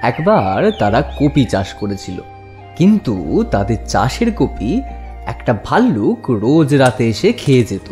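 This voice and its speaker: A man reads a children's fairy tale as narrator. His medium-pitched voice moves up and down expressively, with music behind it. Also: very animated, a little slow, warm tone.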